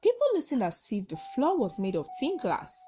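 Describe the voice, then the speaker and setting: A woman narrating a scripted folktale in short, measured, dramatic phrases. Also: fairly high pitch, huge pitch swings, mellow, faint music behind. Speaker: storyteller, narrator.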